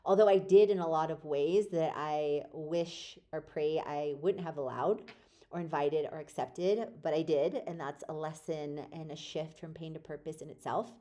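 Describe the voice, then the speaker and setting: A woman's voice: deep voice, moderate pace, dark tone. A woman hosting a podcast, telling her own life story in a rambling, reflective way, with many fillers and restarts. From born in Poland, raised in the United States. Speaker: podcast host. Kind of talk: casual personal storytelling.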